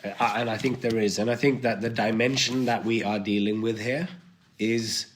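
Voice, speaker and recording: A man with a cold and a hoarse voice, thinking aloud as a guest in a podcast conversation, with many restarts. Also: on the low side, fairly even intonation, moderate pace, a little hiss or hum. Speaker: guest, performer.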